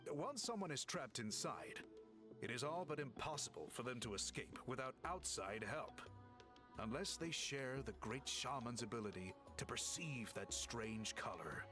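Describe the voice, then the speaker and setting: A man acts out a composed scholarly researcher from a video game. He explains in formal, courteous phrases with an earnest air and lively rise and fall. Music sits behind the voice. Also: mid-range voice, natural voice. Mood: thoughtful. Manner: formal, measured explanatory delivery.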